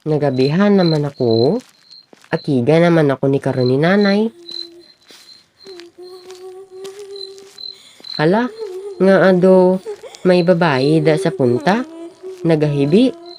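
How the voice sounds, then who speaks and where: Young woman as lovestruck schoolboy, lowish pitch, dark soft-edged tone, earnest, radio drama. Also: lively intonation, measured pace, long pauses, slight background noise. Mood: concerned. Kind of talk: amateur radio drama acting in Filipino regional language.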